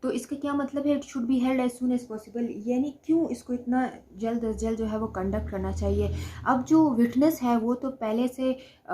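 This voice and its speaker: A woman's voice: a little high, mellow. A woman with a Pakistani accent giving an explanatory law lecture, going step by step and repeating each point. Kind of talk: explanatory lecture alternating Urdu explanation with slowly read English definitions.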